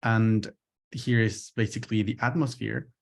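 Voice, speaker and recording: Low-voiced Chilean man lecturing haltingly. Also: little pitch movement, vocal fry. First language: Spanish. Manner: hesitant, halting delivery with repeated words. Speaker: postdoctoral researcher giving a lecture. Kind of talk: informal academic lecture.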